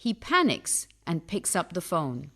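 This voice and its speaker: A woman's voice: lowish voice, wildly swooping pitch, a little slow, very noisy. A woman announcer giving scripted narration to introduce a radio play. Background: music.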